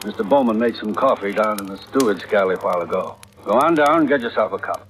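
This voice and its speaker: Steady, low-voiced fatherly sea captain. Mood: calm, reassuring. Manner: firm, reassuring, measured. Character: steady, fatherly older ship's captain, calm under pressure. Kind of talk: 1940s-50s radio drama acting. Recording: vintage radio recording, faint noise.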